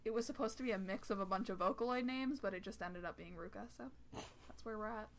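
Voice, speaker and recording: A woman's voice: medium pitch, fast, gravelly. Hesitant young Canadian woman. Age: young adult. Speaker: cover singer. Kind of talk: casual group chat.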